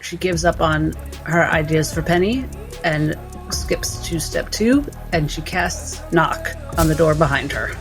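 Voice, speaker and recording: A woman with a slightly low voice narrates her moves as a player in a tabletop game. Faint music plays behind her. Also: measured pace.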